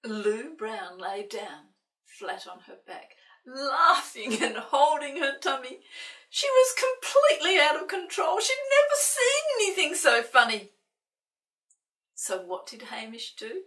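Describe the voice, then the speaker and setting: A woman reading a children's picture book aloud in an expressive way, with playful, dramatic asides to young listeners. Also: sits high, a little slow. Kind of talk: expressive children's storybook narration. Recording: roomy, slightly distant microphone.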